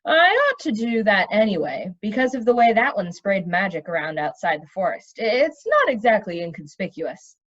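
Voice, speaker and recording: A woman's voice: medium pitch, sing-song, a bit bright, highs cut off, pitched below the performer's own voice. A woman voicing an earnest, awkward young forest king with a hesitant manner in a storybook reading. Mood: explaining. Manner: hesitant, halting.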